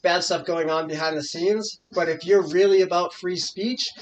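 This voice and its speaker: A man co-hosting a podcast, making measured, thoughtful points in casual chat. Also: sits high, steady tone, highs cut off, a little hiss or hum. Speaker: podcast co-host.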